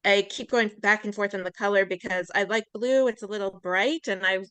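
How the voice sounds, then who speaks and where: Chatty woman on a zoom call, sharp bright voice, no room echo. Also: medium pitch, steady tone, fairly quick, smooth. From Pennsylvania, USA. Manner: chatty, laughs between sentences. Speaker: participant on a video call. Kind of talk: casual chat. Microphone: video call.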